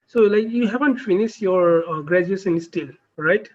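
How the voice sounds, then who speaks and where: A man who is a neurosurgery trainee, chatting on a video call and asking halting, filler-heavy questions. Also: high-pitched, dull audio. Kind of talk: casual video call chat.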